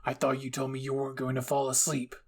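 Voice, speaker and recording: Man voicing a stern, gravel-edged military captain. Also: mid-range voice, monotone, fast, darkish tone, lightly acted. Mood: curt, stern. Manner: curt, cold, clipped replies.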